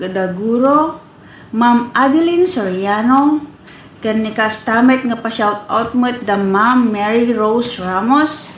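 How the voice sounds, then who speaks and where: A woman with an Ilocano accent reads out listener greetings in a fairly high voice. She goes slowly and haltingly through lists of names. The room is very echoey, with faint noise behind her. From northern Philippines. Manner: halting, stumbles over names. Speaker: listener sending shout-out greetings.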